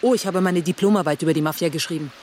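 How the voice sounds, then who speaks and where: A man as fast, confrontational police investigator. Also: a little high, a little hiss or hum, close to an everyday voice. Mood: grave, determined. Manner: sharp, sarcastic, interrogating. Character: tough police investigator in thirties, confrontational and driven. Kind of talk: radio crime drama dialogue.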